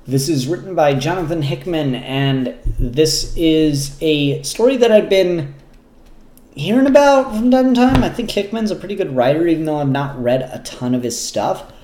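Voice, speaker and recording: A man with a moderately high voice, recorded in a roomy, echoey space. He speaks off the cuff as a review host, pausing mid-thought and swearing casually as he goes. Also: lively intonation, a little mellow. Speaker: comic book reviewer and show host. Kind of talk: casual unscripted spoken review. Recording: roomy, echoey room sound.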